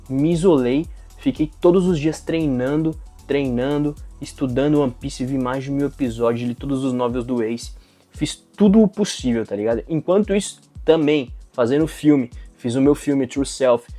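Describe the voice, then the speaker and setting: A young man from Brazil talking casually to camera about his acting campaign, full of slang and swearing. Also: mid-range voice. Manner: slangy, profane, animated venting. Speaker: aspiring actor vlogger.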